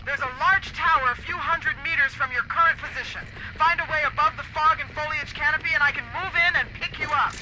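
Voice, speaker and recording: A woman playing a brisk military dropship pilot in a video game, speaking in clipped, urgent radio style. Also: high-pitched, fairly even intonation, very noisy. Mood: businesslike. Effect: radio transmission. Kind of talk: video game character acting. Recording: echoey, distant radio under loud game music.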